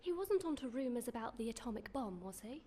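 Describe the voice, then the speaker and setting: A woman's voice: on the high side, sing-song, fairly quick, warm tone, slight background noise. Actress as teasing young English woman, faint ambience. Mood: curious. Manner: well-spoken, teasing, wry. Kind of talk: period radio drama acting.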